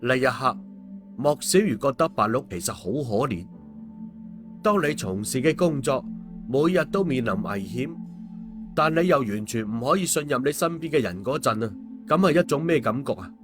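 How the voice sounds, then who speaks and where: A man's voice: medium pitch, lively intonation, normal speed, music in the background. A man with a Hong Kong Cantonese accent narrating stories and commenting on them in a colloquial, opinionated way as host. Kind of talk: story narration and casual commentary.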